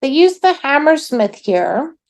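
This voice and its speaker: A middle-aged woman, a guest in a casual chat, with a loud voice and a friendly, drawn-out way of talking. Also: high voice, big pitch swings.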